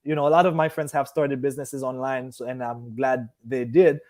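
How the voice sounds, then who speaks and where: A man's voice: mid-range voice, steady tone, a little fast, a little mellow. A man in his early thirties from Haiti, raised partly in Miami, hosting a casual live stream in a rambling, conversational way as he answers viewers. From Haiti, moved to Miami at fourteen. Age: early 30s. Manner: rambling, loses and regains his thread.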